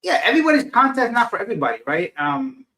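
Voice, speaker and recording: A man's voice: very high-pitched, sing-song, racing, bright, forceful tone, rough recording. A middle-aged man hosting a casual livestream, reading viewers' comments aloud and answering them in a rambling, self-deprecating way. He sounds tired and unfocused. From Afghanistan. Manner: rambling, loses his train of thought, self-deprecating. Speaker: livestream host reading chat. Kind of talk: casual live chat.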